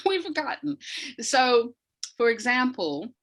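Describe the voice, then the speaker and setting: A woman's voice: a little high, sing-song, takes it slowly, a bit bright. A woman giving reflective, conversational explanations as an interview guest, thoughtfully searching for her words. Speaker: academic and audio dramatist, interview guest.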